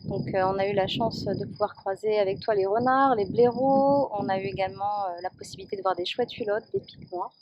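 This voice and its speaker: A woman's voice: medium pitch, fairly quick, few pauses, dull audio, noisy background. A woman interviewing a nature guide outdoors, asking chatty questions with fillers. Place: outdoors at a scenic overlook.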